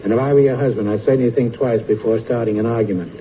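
Joking quiz show guy, ribbing the guests, tinny old radio sound with loud hiss. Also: medium pitch. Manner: quick joking, teasing guests. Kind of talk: wisecracking quiz show banter.

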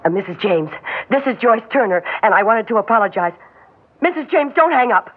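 A woman's voice: fairly high pitch, expressive, a little fast, slightly rough, phone-quality sound. An actress playing a frightened, anxious married woman, pleading and defensive, in a vintage radio drama. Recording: band-limited old radio broadcast recording.

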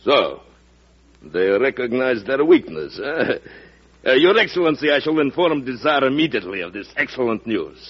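An actor playing a haughty, hot-tempered Russian ambassador in an old-time radio drama, pompous and declamatory. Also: male voice, medium pitch, big pitch swings, normal speed, slight background noise, natural voice. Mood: gloating. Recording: dull lo-fi vintage radio broadcast.

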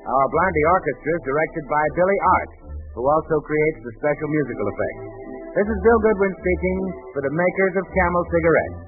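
An American man announcing in a polished 1940s radio style, delivering a scripted sponsor pitch. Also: animated, fairly quick. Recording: tinny 1940s radio broadcast. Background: music.

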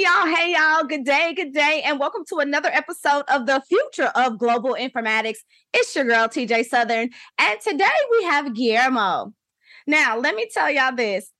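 A woman's voice: high voice, bright, forceful tone, no echo, studio quality. A woman hosting a podcast, upbeat and folksy, often calling out to her listeners. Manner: upbeat, folksy, says "y'all" a lot. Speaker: podcast host. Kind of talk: casual podcast interview.

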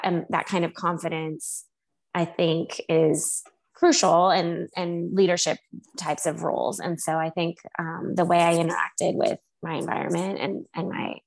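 A woman from Texas speaking as an interview guest, answering thoughtfully with many pauses and restarts. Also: on the low side, creaky. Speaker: guest, high school teacher. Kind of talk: casual conversational interview.

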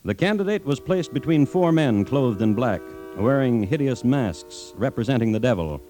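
An actor playing a dry, macabre true-crime host, narrating deliberately with wry pauses in an American accent on old-time radio. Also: male voice, medium pitch, expressive, dark tone, faint music behind, lightly acted. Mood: grave. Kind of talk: 1950s radio scripted narration.

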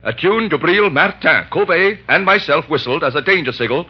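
A husky, earnest radio-drama doctor. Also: male voice, a little high, lively intonation, moderate pace. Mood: grave, explaining. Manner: formal, earnest. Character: earnest threatened male doctor, former prisoner. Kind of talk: 1940s radio drama acting. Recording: dull old radio recording.

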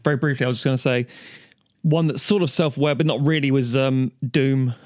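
A man rattles through casual podcast chat at a quick pace. His manner is blunt and informal, with a medium pitch and a dry sound. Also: steady tone, a little mellow, no echo. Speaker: podcast panellist.

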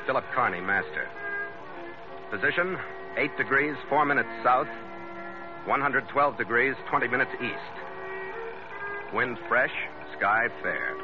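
A muffled vintage radio recording with music carries a tough skipper. He is terse, clipped and hard-boiled, with a mid-pitched voice in 1940s radio drama style. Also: animated, slow, long pauses. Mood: terse logging. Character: tough, terse male ketch skipper narrating his log.

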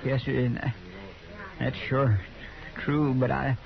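An American actor playing an anxious, loyal, folksy sidekick in a 1950s radio western, with an earnest, deferential drawl. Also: male voice, mid-range voice, flat delivery, slow pace, creaky. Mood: shaken, guilty. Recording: dull old radio recording, crowd noise behind.

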